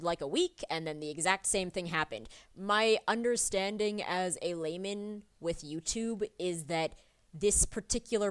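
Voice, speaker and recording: A woman streaming her drawing session, chatting casually and rambling with many fillers, a little sniffly from a cold. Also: on the low side. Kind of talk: casual chat while drawing.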